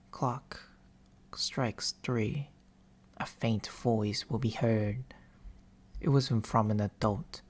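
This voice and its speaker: Man voicing a slow, whispery sleep demon. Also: mid-range voice. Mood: eerie. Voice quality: breathy, often dropping into whisper. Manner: slow, deliberate, theatrical, intimate. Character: soft-spoken male sleep paralysis demon, teasing storyteller. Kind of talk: ASMR roleplay, scripted. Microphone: close binaural microphone.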